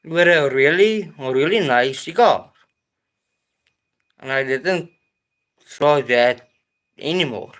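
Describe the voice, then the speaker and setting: A South African man hosting a casual live stream, talking in a hesitant, halting way with many pauses and restarts. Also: fairly high pitch, lively intonation, a bit bright.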